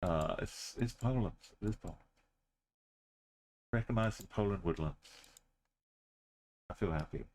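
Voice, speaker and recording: A man's voice: low-pitched, measured pace, long pauses, a little mellow, rough recording. A man streaming a game, thinking aloud in short muttered phrases. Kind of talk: commentary while playing a game.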